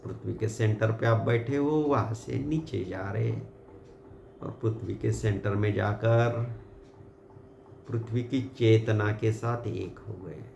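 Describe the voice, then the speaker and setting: Slow, creaky-voiced Indian man guiding meditation. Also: fairly low pitch. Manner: calm, unhurried, gently directive. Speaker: meditation guide. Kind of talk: guided meditation in a slow, instructional flow. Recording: muffled, roomy sound with background hiss.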